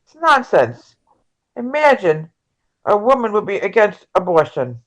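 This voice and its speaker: Halting, earnest woman candidate read by a woman. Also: mid-range voice, very animated. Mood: argumentative. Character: earnest progressive woman presidential candidate in a debate. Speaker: presidential candidate in a play table read. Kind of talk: amateur table read of a stage play.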